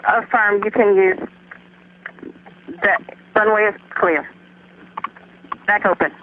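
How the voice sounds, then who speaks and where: Airport vehicle driver, man, high voice, brisk radio calls, thin phone-like radio with faint static. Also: steady tone. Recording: tinny radio transmission with static.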